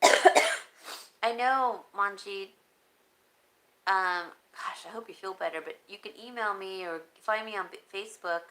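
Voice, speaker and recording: A woman vlogger chatting casually and rambling, answering comments, her voice raspy and broken by frequent coughs. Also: medium pitch, a little slow, pauses often, a bit bright, poor audio. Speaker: home decor vlogger. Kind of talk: casual live-stream chat.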